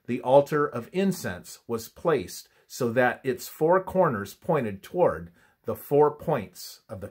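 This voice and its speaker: A man reading a scripted religious study in a measured, instructive manner, his phrases often trailing off softly at the ends. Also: medium pitch, husky.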